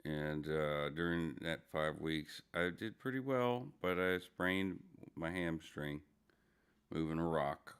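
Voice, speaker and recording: Gravelly, low-voiced man on a sleepy morning stream. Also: slow pace, fairly bright tone. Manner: drowsy, rambling, greets chatters by name. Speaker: livestream host. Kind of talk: casual morning chat with viewers.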